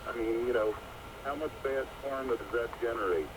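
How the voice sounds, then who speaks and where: Medium-pitched male radio guest, rambling, heard off a static-heavy shortwave broadcast. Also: fairly quick. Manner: rambling, full of "you know". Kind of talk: casual talk-radio discussion.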